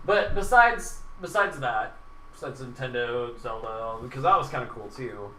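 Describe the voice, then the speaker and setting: A man chatting casually about video games as a podcast host, swearing heavily and repeating himself for emphasis in excitable rants. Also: medium pitch, moderate pace. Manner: excitable, emphatic ranting, repeats phrases for stress. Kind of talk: casual gaming chat with heavy swearing. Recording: roomy, distant mic with background noise.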